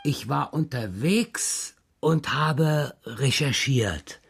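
Playful dad in a kids radio play, slow n hesitant, music under him. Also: male voice, mid-range voice, expressive, gravelly, fairly bright tone, husky, heavily acted. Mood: playfully evasive. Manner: whimsical wordplay, hesitant drawn-out phrasing. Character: playful, whimsical, evasive roaming writer father. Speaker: actor in a children's radio play. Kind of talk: German children's radio drama dialogue.

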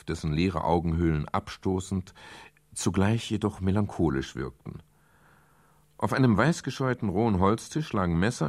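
An actor playing a calm, reflective private detective in a German radio crime drama, speaking in a measured, explanatory way with a gravelly, husky voice. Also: male voice, sits low. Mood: reflective narrating. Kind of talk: German radio crime drama, dialogue and first-person narration.

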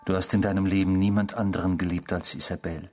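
A man's voice: low-pitched, level tone, quick pace, close to an everyday voice. A man acting a sinister, taunting inner tormentor, over music. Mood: softly menacing. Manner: insinuating, mocking, theatrical, sometimes hushed. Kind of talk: German radio drama acting.

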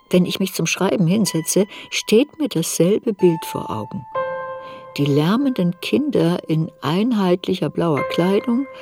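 A woman playing an older writer looking back on her youth, narrating a literary radio drama in a reflective, deliberate way. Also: lowish voice.

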